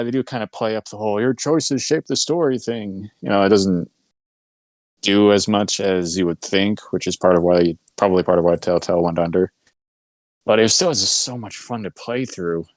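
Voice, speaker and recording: A man's voice: fairly low pitch, darkish tone, no room sound. A man co-hosting a podcast, chatting casually about video games and telling anecdotes with quoted dialogue. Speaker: podcast co-host.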